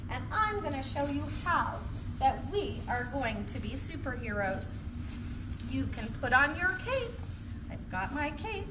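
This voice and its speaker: High voiced lady reading storybook to kids, real playful and exaggerated, noisy recording. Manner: playful, exaggerated, sing-song delivery addressed to small children. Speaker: children's story time host. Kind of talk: animated picture-book read-aloud for young children. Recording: distant mic, clearly noisy background.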